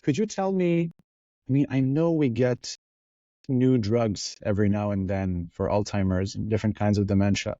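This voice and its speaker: A man's voice: on the low side, moderate pace, warm tone, dry recording. A man hosting an interview show, friendly and enthusiastic as he asks his guest questions. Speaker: podcast host. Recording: dull lo-fi audio.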